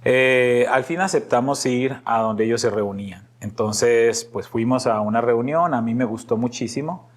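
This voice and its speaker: A middle-aged Colombian man with a paisa accent, telling personal stories casually as a podcast guest and acting out bits of dialogue. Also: mid-range voice. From Medellín, Antioquia, Colombia.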